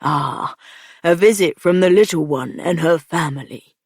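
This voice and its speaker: A woman's voice: sits low, a little slow, husky, no room sound, clean studio sound, exaggerated voice. A woman voicing a short, soothing male cook. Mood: effusive. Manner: soothing, flattering, eager.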